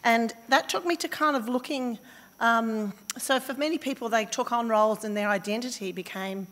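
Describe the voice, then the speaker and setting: An Australian woman with a slightly croaky voice giving a conference talk, thoughtful and halting with fillers and restarts. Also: fairly high pitch, a bit bright. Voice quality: slightly hoarse. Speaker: conference speaker. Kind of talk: academic presentation. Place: conference hall. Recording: reverberant conference hall.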